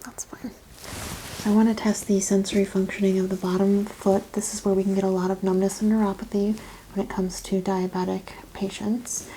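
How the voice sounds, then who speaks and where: A soft-spoken woman doing an ASMR clinical exam roleplay, giving step-by-step instructions and often dropping into whispers. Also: mid-range voice, level tone, normal speed, low-quality recording. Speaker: ASMR performer playing a medical examiner. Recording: clear background noise and ambience.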